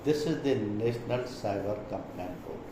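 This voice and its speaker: Male trainer, Indian accent, didactic explanatory delivery, captured in a reverberant room with clear noise. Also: lowish voice, moderate pace. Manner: didactic, reading points then explaining them. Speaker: insurance trainer. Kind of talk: explanatory product presentation.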